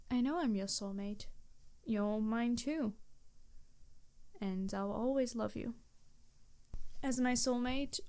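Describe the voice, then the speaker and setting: Casting: woman as ancient female vampire, embarrassed and courteous, old-fashioned phrasing, fairly high voice, intimate audio roleplay. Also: dark tone. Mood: tender, hopeful. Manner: formal, old-fashioned wording, hesitant, apologetic. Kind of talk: intimate scripted roleplay addressed to the listener.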